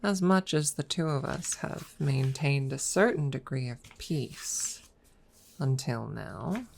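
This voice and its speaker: A woman playing a proud, teasing dragon rival in a soft, measured ASMR audio roleplay, at times dropping toward a whisper. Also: low voice, gravelly, a little hiss or hum, full character voice. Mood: ominous, calm. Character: proud, teasing dragon rival hiding affection, hoarder of treasure.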